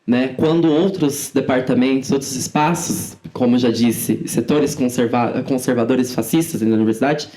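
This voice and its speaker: Young woman, somewhat low-pitched informal speaker. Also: warm tone. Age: 20s. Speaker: podcast guest, student activist. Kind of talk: conversational interview answers.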